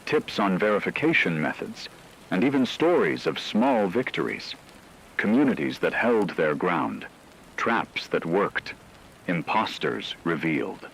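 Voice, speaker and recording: Male narrator, low-pitched, solemn, measured, dramatic storytelling, faint rainy background noise. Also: sing-song, vocal fry, fairly bright tone, poor audio.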